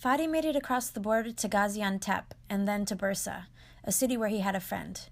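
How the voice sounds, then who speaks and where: A woman hosting, who reads her narration clearly and asks short, encouraging questions. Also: medium pitch, brisk. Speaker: host and interviewer. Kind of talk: scripted narration and casual interviewing.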